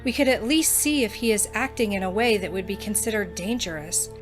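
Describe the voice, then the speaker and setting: A woman's voice: mid-range voice, fairly quick, soft background music, close to an everyday voice. An actress playing a practical young female student with magic powers who talks earnestly and keeps proposing plans.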